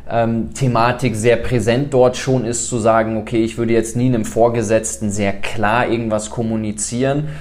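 A man's voice: medium pitch, a little mellow. A man hosting a podcast, asking long conversational questions and sharing his own experiences with fillers. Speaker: podcast host. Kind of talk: interview questions, casual chat.

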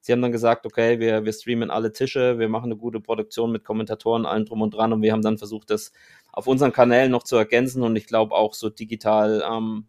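A man hosting a podcast conversation, explaining things at length with frequent filler pauses. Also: lowish voice, completely flat delivery, a little mellow. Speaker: podcast host.

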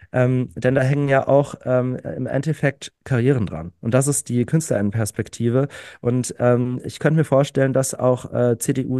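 A man's voice: mid-range voice, dark tone, no room sound, clean studio sound. A man in his early thirties, an activist guest on a podcast, talking earnestly and with enthusiasm, with many fillers and repeated words. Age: 30s. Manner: earnest, enthusiastic, frequent "ähm" fillers and repetitions. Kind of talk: casual conversational interview.

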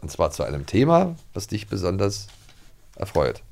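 A man's voice: low-pitched, wildly swooping pitch, moderate pace, vocal fry, darkish tone. A man co-hosting a podcast in a casual, informal and playful way. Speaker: podcast co-host. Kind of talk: casual chat, playful quiz reading.

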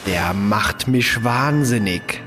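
A man's voice: lowish voice, expressive, slow pace, over loud music. A man playing a defiant, wry human fugitive in a science fiction audio drama, given to swearing. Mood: exasperated.

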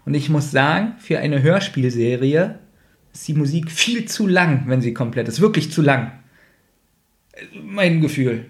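A man's voice: a little high, expressive, unhurried. A man chatting casually as a podcast co-host reviewing radio plays.

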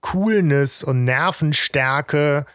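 A man's voice: fairly high pitch, drawn-out words, fairly bright tone, no echo. A man co-hosting a fan podcast, talking in a casual, hesitant, reflective way with many restarts. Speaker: podcast co-host.

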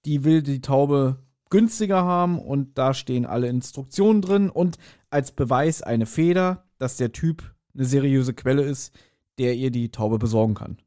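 A man co-hosting a podcast, casually discussing and speculating at length with pauses and self-corrections. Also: medium pitch, dark tone. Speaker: podcast co-host. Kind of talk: casual audio drama discussion.

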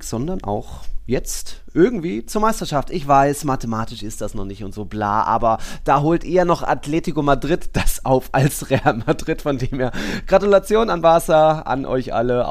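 A man's voice: mid-range voice, animated, moderate pace, few pauses. Casual male football podcast host.

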